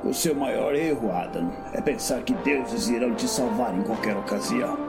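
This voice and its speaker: A man playing a stern, scornful master swordsman who commands and taunts in a fantasy audio drama, over loud music. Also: mid-range voice, moderate pace, natural voice.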